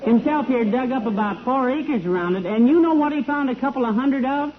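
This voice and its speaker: A woman's voice: high-pitched, fairly quick, close to an everyday voice. Wry teasing wife, played by a woman, tinny sound. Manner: dry, teasing. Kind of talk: 1940s-50s radio comedy acting. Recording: tinny hissy old radio recording.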